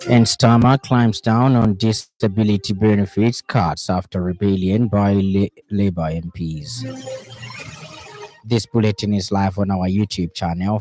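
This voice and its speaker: Low, mellow Zambian newsreader man. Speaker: radio news presenter. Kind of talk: formal scripted news reading. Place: radio studio.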